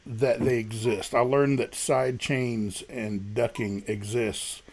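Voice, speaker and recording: A man with a deep voice, a guest musician talking casually and rambling about his recording struggles. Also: fairly even intonation, takes it slowly, darkish tone, slightly rough. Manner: self-deprecating, rambling, many restarts. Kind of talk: casual livestream chat.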